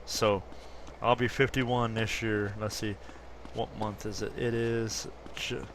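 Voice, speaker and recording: Man in his fifties, gameplay commentary, low voice, rambling, faint hiss behind. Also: flat delivery, takes it slowly, a bit bright. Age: 50s. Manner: rambling, self-correcting, chatty. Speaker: gaming YouTuber.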